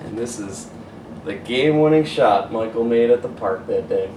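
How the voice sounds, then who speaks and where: A man reading a children's picture book aloud as a coach would, pausing to point out the pictures. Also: mid-range voice. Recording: roomy, distant mic, faint hiss.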